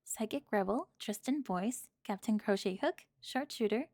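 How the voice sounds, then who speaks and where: A woman speaking as the host of an ASMR channel, reading a scripted sign-off and a list of shout-outs. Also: medium pitch, animated, normal speed, no room sound, clean studio sound.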